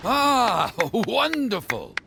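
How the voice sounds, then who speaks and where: An actor playing a courteous, curious storyteller in a video game, speaking in an eloquent, theatrical way. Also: male voice, very high voice, huge pitch swings, very slow, gravelly, noisy background, full character voice. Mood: delighted. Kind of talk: expressive video game voice acting.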